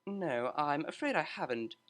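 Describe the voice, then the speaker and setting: A man's voice: fairly high pitch, lively intonation, moderate pace, fairly bright tone. A man voicing a polite, refined young female pony cellist with formal, precise and proper speech in a storybook reading.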